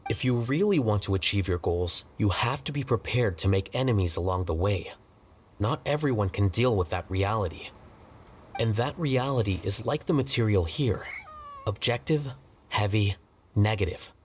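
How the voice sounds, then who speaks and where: An actor playing an aloof, rational young scholar in a video game, speaking in a measured, formal and detached way. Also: male voice, on the low side, lightly acted.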